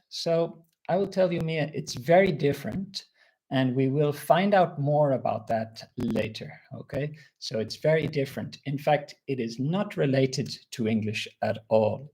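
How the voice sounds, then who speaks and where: A man from Ireland with an Irish accent, teaching a live online English lesson and reading out viewers' answers. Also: medium pitch. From Republic of Ireland. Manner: slow, clear teacher talk, reading out viewers' comments.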